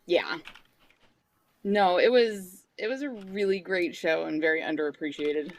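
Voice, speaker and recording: A woman's voice: on the low side, measured pace, a little hiss or hum. A young woman chatting casually and emphatically as a podcast panelist. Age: young adult. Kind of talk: casual chat.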